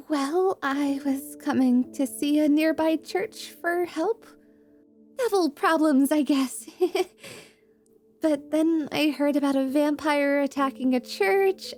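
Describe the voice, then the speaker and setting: A woman playing a bright, loud, cheerful young adventurer who gets easily flustered, in a fantasy ASMR roleplay. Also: very high-pitched, heavily acted. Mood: nervous, cheerful.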